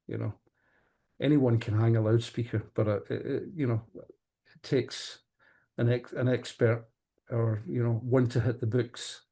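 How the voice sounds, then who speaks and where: A man giving interview answers as a company executive, speaking haltingly and often repeating the starts of words. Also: medium pitch, dark tone. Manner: halting, hesitant, repeats word beginnings. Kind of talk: interview answers on professional audio products.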